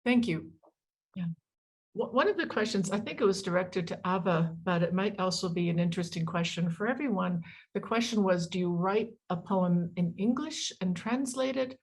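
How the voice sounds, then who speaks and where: A woman hosting a panel discussion, reading out audience questions in a halting way with restarts. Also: mid-range voice, breathy edge. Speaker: event host. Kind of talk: moderating a panel.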